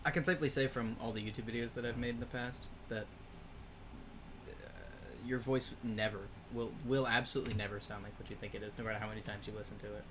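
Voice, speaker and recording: A young man chats wryly with his gaming group, reeling off details in a deadpan voice. His voice sits in a middle range. Steady noise runs behind him on his home mic. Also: medium pitch, quick pace. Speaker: player. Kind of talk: casual chat.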